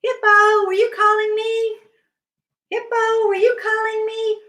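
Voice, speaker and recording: A woman's voice: very high voice, little pitch movement, unhurried, sharp tone, voice pushed up, heavily acted. A woman voicing a cheerful, playful male bear in a children's story, with simple exclamations. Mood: startled, excited. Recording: echoey room.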